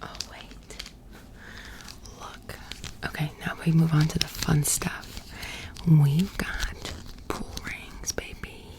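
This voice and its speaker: A woman with an American accent speaks in a low, hushed whisper. She chats casually and informally, as in a soft-spoken ASMR roleplay. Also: fairly even intonation, slow pace, long pauses, poor audio. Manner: casual, chatty, informal with swearing. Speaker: ASMR creator.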